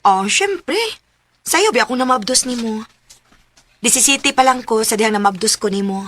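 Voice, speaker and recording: An actress playing a caring single mother in her thirties, gently admonishing in a Cebuano radio drama. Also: a little high, expressive, moderate pace. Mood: caring, resigned.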